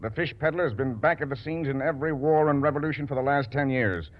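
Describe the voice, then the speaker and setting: An actor playing a gruff, earnest intelligence chief, urgent and plain-spoken, in a 1940s radio drama. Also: male voice, mid-range voice, quick pace, lightly acted. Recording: dull, lo-fi 1940s radio recording.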